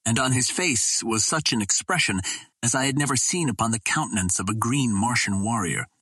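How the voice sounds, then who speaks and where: A man narrating an old adventure novel in a measured, theatrical way, as a scripted dramatic reading. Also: medium pitch, bright, forceful tone, slightly rough, no echo. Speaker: audiobook narrator.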